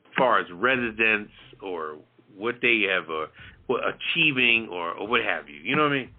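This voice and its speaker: A man's voice: on the low side, lively intonation, moderate pace. Man with muffled sound posing rambling questions. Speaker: talk show co-host. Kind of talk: casual panel discussion. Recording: muffled, band-limited audio.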